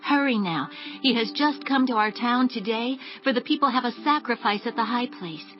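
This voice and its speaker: A woman's voice: a little high, normal speed, close to an everyday voice. An actress playing a helpful, eager young townswoman in a dramatized audio Bible, urging her listeners along. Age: young adult. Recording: dull lo-fi audio with music. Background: background music.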